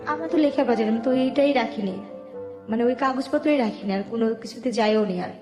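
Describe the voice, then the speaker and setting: High-voiced Bangladeshi woman telling her story. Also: a little fast. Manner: colloquial, step-by-step narrating with quoted dialogue. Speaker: interview guest telling her life story. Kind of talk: personal testimony in conversational speech. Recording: echoey room with music underneath. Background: background music.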